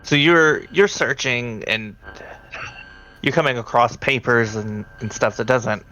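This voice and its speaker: A man running a tabletop horror game as game master, talking in a halting, conversational way. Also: mid-range voice, sharp tone, faint music behind, low-quality recording.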